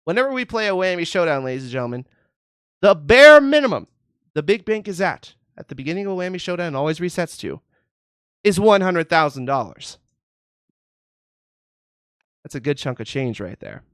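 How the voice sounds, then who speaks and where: Congested game show host, higher man's voice. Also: big pitch swings, moderate pace, pauses often, clear, resonant tone, no echo, clean studio sound. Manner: showy announcer patter, calls out totals and ladies and gentlemen. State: congested from a summer cold. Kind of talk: hosting an online game show.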